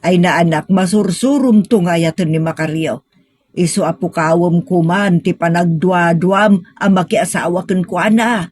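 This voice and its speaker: A woman's voice: lowish voice, measured pace, a little mellow, slightly rough. A woman playing a middle-aged, money-minded mother in an audio drama, coaxing and insisting with her grown daughter. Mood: insistent, pleased. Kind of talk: sentimental audio drama acting.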